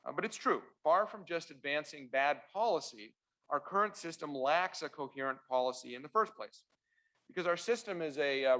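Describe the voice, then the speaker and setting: A man born in Russia and raised in Canada, a constitutional lawyer giving opening remarks at a university debate, halting often on "uh" and restarts, with dry wry asides. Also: on the high side, fairly bright tone. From born in Russia, raised in central Ontario, Canada.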